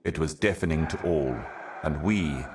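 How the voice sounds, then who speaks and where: A man voicing an ancient, otherworldly envoy in a video game, delivering cryptic monologues in a solemn, measured, portentous manner. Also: very deep voice, background noise. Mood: solemn, ominous. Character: ancient cosmic envoy, solemn otherworldly prophet serving higher powers.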